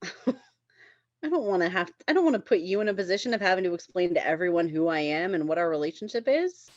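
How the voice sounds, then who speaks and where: A woman's voice: fairly low pitch, expressive, a little fast, no echo, dull audio, natural voice. A woman playing a smug, evasive boyfriend in a comedy table read, patronizing and falsely considerate.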